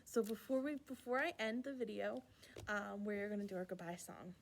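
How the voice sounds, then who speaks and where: A woman hosting a children's storytime, reading a rhyming picture book aloud in an expressive, playful way with asides to young listeners. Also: medium pitch, moderate pace, creaky, a little hiss or hum. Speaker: children's library storytime host.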